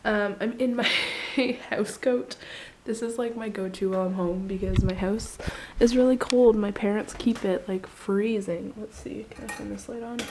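A woman's voice: medium pitch, fairly even intonation, normal speed, slight background noise, low-quality recording. A young woman with a stuffy nose from a cold, chatting casually to a handheld camera at arm's length. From Canada. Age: young adult. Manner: chatty, rambling, quiet at night. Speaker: vlogger. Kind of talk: casual daily vlog. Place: bedroom, car and living room at home.

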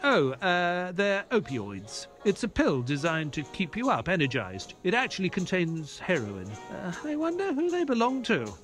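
Man playing gentleman sidekick, audio drama narrator, lightly raised pitch, lively intonation, music underneath. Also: normal speed, few pauses, heavily acted. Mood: bemused.

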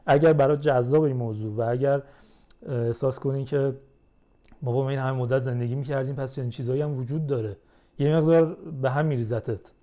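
A young man in casual conversation as a podcast guest, explaining things in a measured way. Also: medium pitch, fairly even intonation, mellow.

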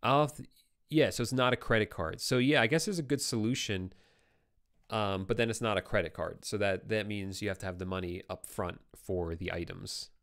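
A young man hosting a casual live stream, reading viewer questions aloud and answering them, his voice tired and going hoarse, on a close studio microphone. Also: on the low side, a little fast. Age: young adult. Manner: relaxed, conversational, reads viewer comments aloud. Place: home studio with brick wall. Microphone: boom-arm studio microphone close up.

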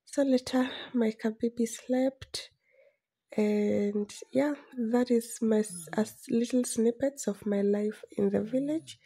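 A young adult Kenyan woman narrating a personal vlog in a gentle, unhurried, reflective way. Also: a little high, steady tone, husky. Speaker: vlogger narrating her village life. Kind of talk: soft-spoken vlog voice-over.

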